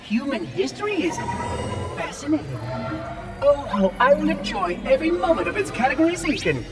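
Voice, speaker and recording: An actor voicing a pompous, fussy robot caretaker in a video game, with precise, theatrical and menacing speech. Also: male voice, very high-pitched, sing-song, normal speed, music in the background. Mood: gleeful. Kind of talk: cinematic game acting.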